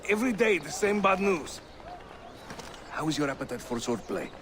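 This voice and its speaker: A man's voice: on the high side, big pitch swings, moderate pace, fairly bright tone, a little hiss or hum. An actor playing a jovial, talkative Ottoman assassin leader in a video game, with a Turkish accent and a teasing, theatrical manner. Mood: upbeat. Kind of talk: video game cutscene acting.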